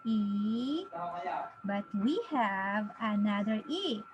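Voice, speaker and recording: A woman in her thirties teaching a children's phonics lesson, encouraging, with exaggerated, deliberate enunciation for young learners. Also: medium pitch, animated, dull audio, background noise. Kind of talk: children's phonics lesson, sounding out letters and words.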